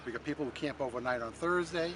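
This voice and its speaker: Higher-voiced easygoing man, noisy outdoor recording. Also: fast. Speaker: event volunteer. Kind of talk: casual interview answers. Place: outdoors at a radio swap meet. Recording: noisy outdoor vehicle ambience.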